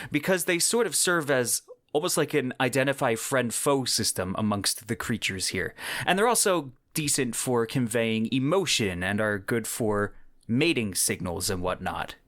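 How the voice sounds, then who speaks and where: A man hosting a podcast, giving a semi-scripted explanatory monologue in measured phrases with the occasional personal aside. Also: mid-range voice, big pitch swings, a bit bright, no echo, clean studio sound. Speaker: podcast host.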